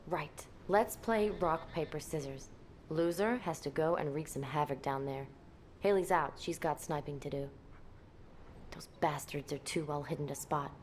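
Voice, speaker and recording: A woman's voice: low-pitched, moderate pace, slight background noise, natural voice. A woman playing a confident, blunt, teasing female military commander in a scripted video game scene. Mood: sober, frank, then playful, brisk. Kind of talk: scripted game cutscene acting.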